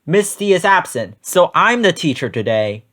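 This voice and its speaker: Schoolboy class clown, acted by a man, bossy, cheeky, high-pitched, very animated, children's storybook. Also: normal speed.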